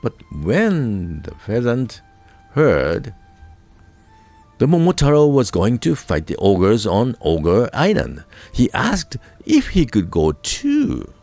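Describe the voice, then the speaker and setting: A male storyteller with a Japanese accent narrates a folk tale over faint music. His delivery is slow and deliberate, with very animated, sweeping intonation that gives dramatic weight to key words. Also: mid-range voice, creaky. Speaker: storyteller, radio voice crew narrator.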